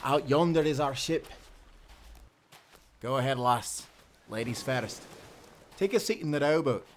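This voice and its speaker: A man's voice: medium pitch, unhurried, a little hiss or hum, natural voice. A man playing a hearty, friendly timberman turned sailor who talks in folksy, encouraging old-time seafaring speech in a nautical audio drama. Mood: welcoming, easygoing. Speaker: sailor guiding a newcomer.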